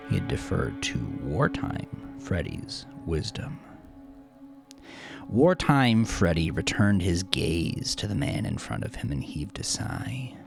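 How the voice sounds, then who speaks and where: A man's voice: deep voice, gravelly. A man narrating crime fiction as a dramatic scripted audiobook.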